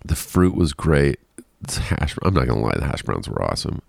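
A man's voice: a bass voice, sing-song, a little fast, creaky, no echo, studio quality. A man hosting a casual solo podcast, rambling and self-deprecating with frequent laughs, sounding congested and nasal. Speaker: podcast host.

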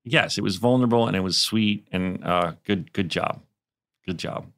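A man's voice: low-pitched, normal speed, creaky, a bit bright. A man with an American accent co-hosting a podcast in a casual, conversational style. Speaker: podcast co-host. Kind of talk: casual chat.

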